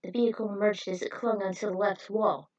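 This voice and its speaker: A woman's voice: mid-range voice, steady tone, a little fast, darkish tone, no echo. A woman reading an adventure story aloud as an amateur narrator, on a lo-fi home recording. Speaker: podfic narrator. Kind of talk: amateur storytelling read from a script.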